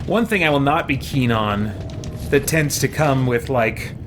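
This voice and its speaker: A man's voice: medium pitch, lively intonation, unhurried, music in the background. A man giving casual, opinionated let's-play commentary as a gaming streamer, musing in a conversational way. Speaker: gaming streamer playing a role-playing game.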